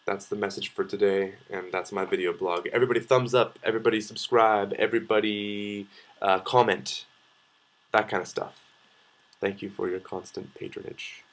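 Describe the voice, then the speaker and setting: Low-voiced young Canadian man, rambling. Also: rough recording. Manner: hesitant, rambling, self-interrupting. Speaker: video blogger. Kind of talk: casual opinion vlog, talking to camera.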